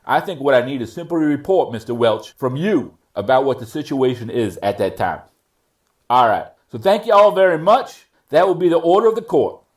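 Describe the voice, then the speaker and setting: A man playing a stern but fair judge in a readers theater courtroom, speaking formally and admonishing in a measured way. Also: medium pitch, close to an everyday voice. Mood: businesslike.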